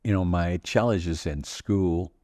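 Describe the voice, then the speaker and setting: A Dutch-accented man in his eighties hosts a relaxed podcast chat. His voice sits very low and mellow, and it sounds dry and close. He tells his stories in a halting, rambling way, stopping and restarting often. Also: no room sound. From Northern Netherlands. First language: Dutch regional dialect. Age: 80s. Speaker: podcast host. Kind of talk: casual conversational storytelling.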